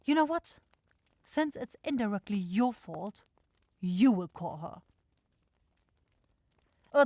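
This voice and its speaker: A woman's voice: medium pitch, a little mellow, no echo. A bossy demon woman runs her shop with grumbling sarcasm, acted by a woman. She is brusque and exasperated, in the manner of a fantasy audio drama. The recording is close and free of room sound. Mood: weary, bossy. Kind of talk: fantasy audio roleplay acting.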